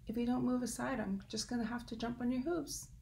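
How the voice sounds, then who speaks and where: A woman voicing a small polite male wren bird in a told story, asking in a high polite voice. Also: a little fast, gravelly, mellow. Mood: defiant.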